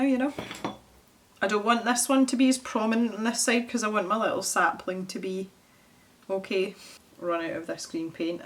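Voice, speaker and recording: Scottish woman, young adult, casual painting chat, self-mocking, thinking aloud, medium pitch. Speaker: art channel host.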